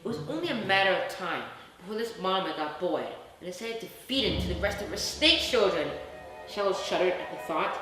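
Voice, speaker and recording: Echoey lady reading kids a story, super animated, bright voice, music under it. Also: medium pitch, a little fast. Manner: expressive, dramatic. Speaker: storyteller reading a children's book. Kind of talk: animated bedtime story narration.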